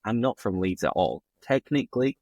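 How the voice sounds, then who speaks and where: A man hosts a relaxed chat, teasing his guest playfully. His voice is fairly low. The sound is dry and close-miked. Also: moderate pace, no room sound. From England, Bingley near Bradford. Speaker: host, English teacher. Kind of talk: casual interview.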